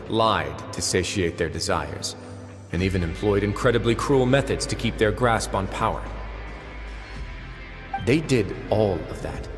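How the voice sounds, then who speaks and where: An actor playing a calm, composed male prison warden in a game, speaking in a low, measured voice. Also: close to an everyday voice. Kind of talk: game voice acting.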